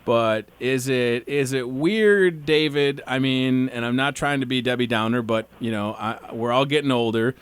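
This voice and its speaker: A man's voice: medium pitch, moderate pace, hardly any pauses, clean tone, dry recording. Chatty man in his thirties interviewing. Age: 30s. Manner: friendly, chatty, stumbles over his questions. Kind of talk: casual interview.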